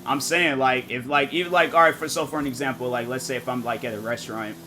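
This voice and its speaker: A man streaming a game and chatting casually, rambling and thinking out loud with lots of fillers. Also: mid-range voice, fairly even intonation, fast talker, a little hiss or hum. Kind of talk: casual live-stream chat.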